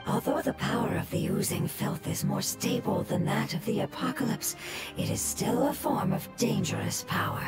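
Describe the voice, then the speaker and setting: A woman voicing a solemn, cautious fungus creature in a video game, with breathy, whispery, formal and measured speech. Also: medium pitch, expressive, lightly acted. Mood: grave. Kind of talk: video game character acting. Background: loud game music.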